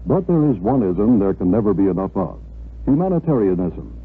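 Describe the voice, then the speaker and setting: A man announcing in scripted 1950s radio public-service style, measured and declamatory. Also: lowish voice, sing-song, slightly rough. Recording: tinny narrow-band old radio recording.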